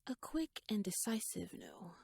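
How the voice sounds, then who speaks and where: Actress as a shrewd royal lady for an audio roleplay: hushed, airy voice, mid-range, measured courtly wit, very dry recording. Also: mid-range voice, wildly swooping pitch, vocal fry, slightly rough, dry recording, exaggerated voice. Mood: probing, wry. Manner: wry, courtly, measured, probing. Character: shrewd, teasing young noblewoman, poised royal chancellor. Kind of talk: ASMR audio roleplay, dramatic monologue.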